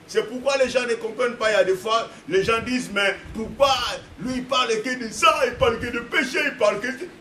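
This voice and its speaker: A man delivers an impassioned sermon, his voice sitting extremely high for a man. He shouts and hammers phrases over and over. The sound is echoey and distant, with noise underneath. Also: a little fast, hardly any pauses. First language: Portuguese. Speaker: pastor. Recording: echoey room, distant mic, background noise.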